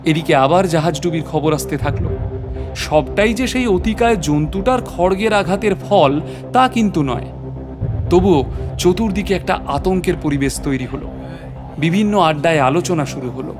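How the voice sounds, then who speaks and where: একজন পুরুষ অভিনেতা প্রাকৃতিক ইতিহাসের অধ্যাপকের চরিত্রে নাটকীয়, রহস্যময় ভঙ্গিতে নিজের অভিযানের গল্প বলছেন।. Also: male voice, a little high, expressive, normal speed, music in the background, natural voice. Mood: scholarly, intrigued. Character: male natural history professor recounting his adventure. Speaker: narrator. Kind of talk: dramatic scripted story narration.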